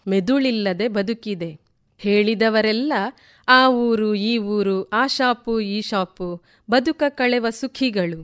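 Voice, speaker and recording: A woman reciting poetry in a deliberate way, repeating lines for emphasis. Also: mid-range voice, clear, resonant tone. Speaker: poet reciting on a radio programme.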